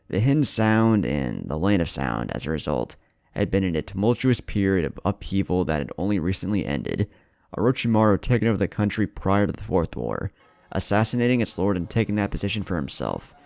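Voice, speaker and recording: Deep, gravelly male story narrator. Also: a little mellow, no echo, studio quality. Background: music bed.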